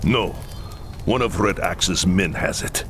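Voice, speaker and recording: An actor playing a proud, solemn leader of a war-torn camp in a fantasy game cutscene, speaking earnestly with music behind. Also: male voice, sits low, slow pace, fairly bright tone, a little hiss or hum. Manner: earnest and solemn, pleading at times. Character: grave, proud Kislevite camp leader, middle-aged man. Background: orchestral game music.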